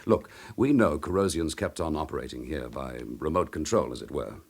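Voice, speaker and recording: A very animated, low-pitched police inspector in a radio crime drama. He is gruff, intense and impatient, driving his lines with clipped determination. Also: brisk, heavily acted. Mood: grim, intense.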